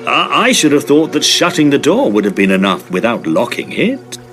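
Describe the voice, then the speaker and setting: Guy acting as young victorian student, curious n polite, pitch moves around a lot, audio drama with music behind. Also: huge pitch swings, normal speed. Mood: politely probing. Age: young adult. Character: earnest, inquisitive young Oxford student of the 1880s.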